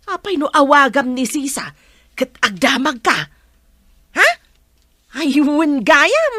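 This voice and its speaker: An actress with an Ilocano accent playing a gossipy, suspicious woman fretting over family affairs in a radio drama, with exclamations and drawn-out sighs. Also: sits high, very animated, pushed and bright, no room sound, clean studio sound, full character voice. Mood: agitated, prying.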